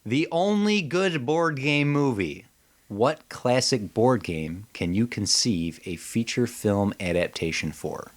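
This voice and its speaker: A man co-hosting a podcast in casual, comedic chat, joking and mildly profane in an animated way. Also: medium pitch, takes it slowly. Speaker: podcast co-host.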